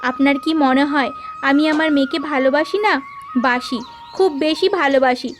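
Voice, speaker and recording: Landlord's wife female performer, concerned cartoon mother, high voice, earnest pleading delivery, music underneath. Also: moderate pace, close to an everyday voice. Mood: reproachful. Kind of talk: Bengali animated folk tale dialogue. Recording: music behind the voice.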